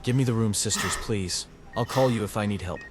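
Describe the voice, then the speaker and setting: A man acts a young doctor carrying guilt in a horror audio drama. His voice is fairly low, measured and earnest. There is clear ambient noise behind him. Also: lightly acted. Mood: calm, urgent. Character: troubled young male doctor, earnest and guilt-ridden narrator. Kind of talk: horror audio drama dialogue and first-person narration.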